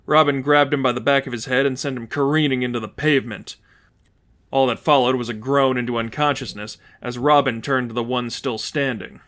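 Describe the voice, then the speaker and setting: A man's voice: medium pitch, steady tone, a little fast, a bit bright. A man reading fan fiction aloud as scripted audiobook narration.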